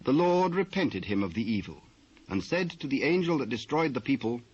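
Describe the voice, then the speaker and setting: British guy narrating the bible, formal and measured, kinda husky, dull old tape recording. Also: mid-range voice, big pitch swings, a little mellow. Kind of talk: scripted dramatised Bible narration. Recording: muffled old cassette transfer.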